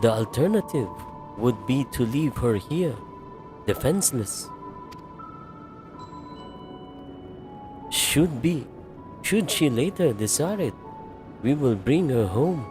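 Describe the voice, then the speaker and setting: Slow, solemn gentleman adventurer played by a man. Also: medium pitch, animated, over music, natural voice. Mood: grave, measured. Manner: formal, deliberate, archaic politeness. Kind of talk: video game voice acting.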